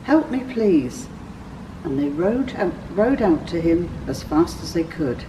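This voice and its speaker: A woman reading a scripted children's story as a church storyteller, with expressive, clearly phrased delivery. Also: deep voice, measured pace, distant mic, noisy background.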